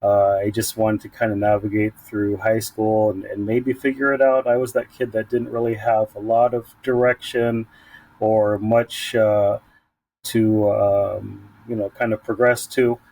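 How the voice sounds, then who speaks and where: A man from San Diego, a guest in an interview, talking about his work in a halting way with many ums and restarts. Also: lowish voice, flat delivery, gravelly, a little mellow, rough recording. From San Diego, United States. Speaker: community program leader, guest. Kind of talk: conversational interview.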